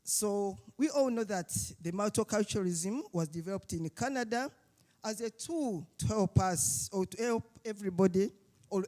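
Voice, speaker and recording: A speaker from Uganda, giving a halting panel talk with many fillers and restarts. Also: mid-range voice, darkish tone. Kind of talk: summit panel speech.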